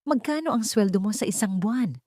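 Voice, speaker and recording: A woman's voice: medium pitch, expressive, fairly quick, darkish tone, no room sound, pitched above the performer's own voice, natural voice. A woman voicing a proud, authoritative young heiress bent on revenge in an audio drama. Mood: commanding.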